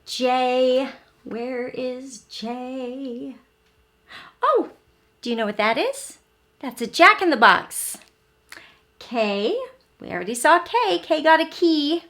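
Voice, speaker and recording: High-voiced woman reading slowly to young children. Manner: expressive, asks the listener questions and pauses; simple, deliberate teacher talk for small children. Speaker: children's read-aloud host and teacher. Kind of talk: interactive storybook read-aloud for young children.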